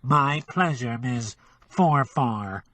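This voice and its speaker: A man's voice: medium pitch, animated, extremely slow, fairly bright tone, far from the mic, heavily acted. A man doing an adenoidal nasal twang. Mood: glib. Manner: glib, overly polite. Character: nasal fake gentleman from out of town.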